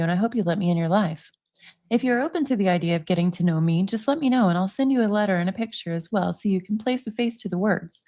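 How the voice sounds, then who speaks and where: A woman in her forties who hosts a commentary channel, reading emails aloud in a steady, measured voice and then chatting casually between them. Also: mid-range voice. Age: 40s.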